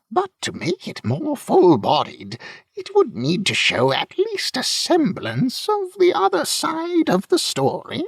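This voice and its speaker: A courtly avian bard speaks in a very high, swooping voice. The manner is florid, formal and full of flattery, as in a fantasy audiobook. The recording is close and dry. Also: male voice, vocal fry, no room sound, exaggerated voice. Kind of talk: fantasy audiobook character acting.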